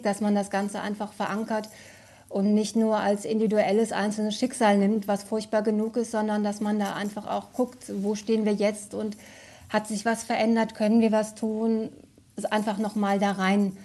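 A woman's voice: medium pitch, a little mellow. A woman speaking as a radio drama director, giving an earnest, explanatory interview answer.

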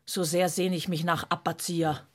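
A woman's voice: lowish voice, level tone, moderate pace, natural voice. An actress playing a nosy, gushing landlady with a Bavarian accent in a radio play, chatting in a colloquial way. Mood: dreamy.

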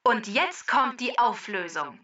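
A woman with a very bright, fairly high voice delivers scripted quiz narration in a measured, formal way. The sound is a little muffled and distant, with some music behind her. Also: lively intonation. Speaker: quiz master and announcer of a children's podcast.

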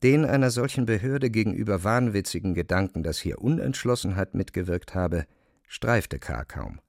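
A man's voice: lowish voice, lively intonation, moderate pace, a little mellow, no echo, clean studio sound. A man reading scripted literary narration as the narrator of a radio play.

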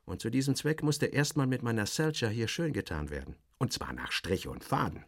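A man's voice: medium pitch, animated, fairly quick, creaky, dry recording, clean studio sound. A man performing dramatic first-person literary narration in character, wry and theatrical, in clipped phrases.

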